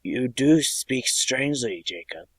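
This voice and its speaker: Casting: male actor as an old miserly businessman, uneasy and wistful, very animated pitch, audio-play acting. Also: measured pace, slightly rough, no echo. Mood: uneasy, pleading. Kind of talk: radio drama acting.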